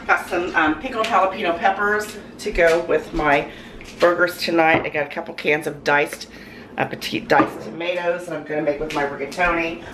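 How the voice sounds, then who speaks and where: A hoarse woman with a cold, chatting in a rambling, folksy way with lots of ums in her home kitchen. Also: on the low side, steady tone, moderate pace. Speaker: cooking vlogger. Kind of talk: casual chat to camera. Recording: noisy home recording.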